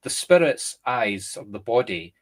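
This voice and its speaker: A Scottish man, a medium and co-host, chatting casually and explaining through lively examples. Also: medium pitch, unhurried. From Scotland. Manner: animated, explains through examples and acted-out quotes. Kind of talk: casual conversation.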